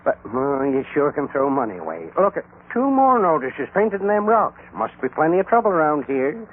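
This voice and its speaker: An actor playing a grumbling, folksy old cowboy sidekick with an Old West drawl, in an old radio western. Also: male voice, fairly high pitch, sing-song, moderate pace. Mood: eager, wheedling. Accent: American Old West drawl. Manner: folksy, cantankerous, exclaiming. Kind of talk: 1940s-50s radio western acting. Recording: tinny, hissy old radio recording.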